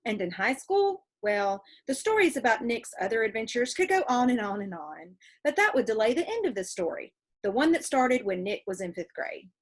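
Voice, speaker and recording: Animated woman reading a story aloud. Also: a little high, normal speed. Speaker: storybook reader. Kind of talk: expressive scripted read-aloud narration.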